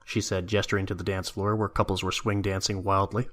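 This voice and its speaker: A man's voice: low voice, little pitch movement, a little fast, fairly bright tone. A man reading scripted story narration as the narrator of an audio drama.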